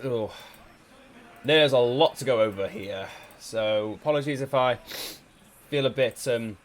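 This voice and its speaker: Male gameplay commentator, mid-pitched, a little stuffy from a cold, halting and casual. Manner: hesitant, many ums and restarts. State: slightly congested from a cold. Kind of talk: casual strategy game commentary.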